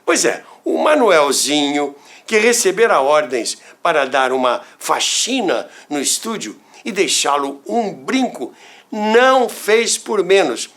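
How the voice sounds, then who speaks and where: An older man with a Brazilian accent tells anecdotes in a theatrical, deliberate way, with dramatic pauses and asides to the listener. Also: fairly high pitch, sing-song, fairly bright tone. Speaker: storyteller, former radio worker. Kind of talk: animated anecdotal storytelling podcast narration.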